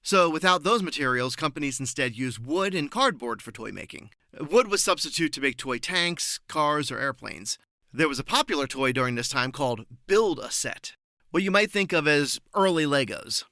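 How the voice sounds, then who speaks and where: A man giving prepared, articulate answers as an interview guest, informative and measured in his phrasing. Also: on the high side, expressive, sharp tone, dry recording, studio quality.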